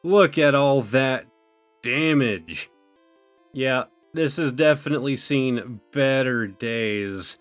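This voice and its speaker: A man's voice: medium pitch, slow, faint music behind. Rambling young man with a fading voice. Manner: rambling, full of "like" and "you know". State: voice going out, thin and washed out. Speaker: card-opening YouTuber. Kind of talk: casual chatty commentary.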